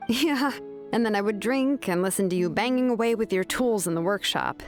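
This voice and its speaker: A woman's voice: medium pitch, normal speed, lightly acted. A woman voicing an easygoing young woman artisan, affectionate and reassuring, in an anime game. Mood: relaxed, fond. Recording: game music behind.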